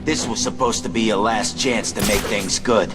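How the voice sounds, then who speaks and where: A man's voice: on the low side, steady tone, normal speed, loud music behind the voice, close to an everyday voice. A man voicing a dim, menacing henchman in a video game, speaking bluntly in simple phrases. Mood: calmly threatening.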